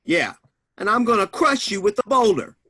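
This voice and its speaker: A man playing a brooding, vengeful tough guy with gruff, slangy threats in a comic stage reading. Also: high-pitched, big pitch swings, moderate pace, sharp tone, voice pushed up, heavily acted. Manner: gruff slangy threats, folksy wiseguy talk.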